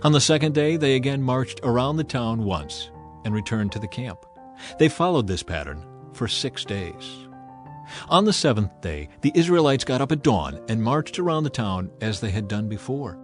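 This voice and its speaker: A man's voice: medium pitch, very animated, normal speed. A man gives a solemn, declamatory narration in the style of a dramatized audio Bible. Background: background music.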